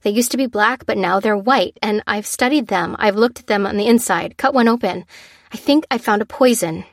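A woman's voice: medium pitch, normal speed, dry recording, clean studio sound, close to an everyday voice. A woman voicing a frightened young woman on the run, pleading and stammering in a raised voice. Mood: panicked pleading. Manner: pleading, stammering, rising to near-shrieks.

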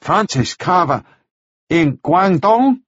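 A man doing a slow, halting, wary man. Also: sits high, sing-song, fairly bright tone, husky, no echo, voice pushed up, exaggerated voice. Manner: slow, halting, repeating words. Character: quiet, wary man with limited English. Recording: dull lo-fi dry recording.

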